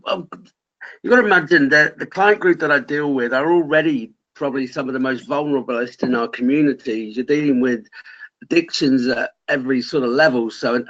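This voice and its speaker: A man's voice: medium pitch, fairly bright tone. A man explaining his work on a conference call in a plain-spoken, rambling, colloquial way. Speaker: caseworker with vulnerable clients. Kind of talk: explanatory casual talk on a call. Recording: dull, lo-fi conference call audio.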